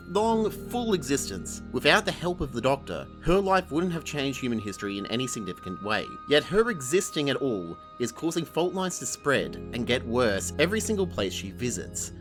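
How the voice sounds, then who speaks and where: Australian male reviewer, lively swinging intonation, emphatic and sardonic, swears freely, music underneath. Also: mid-range voice, a little fast, hardly any pauses. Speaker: video review host. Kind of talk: scripted opinionated review narration.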